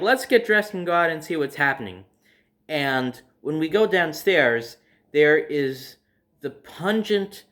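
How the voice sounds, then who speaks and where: A man's voice: a little high, a bit bright. A man telling personal stories casually to his viewers, in a conversational way, acting out his reactions as he goes. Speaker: storyteller hosting own video series.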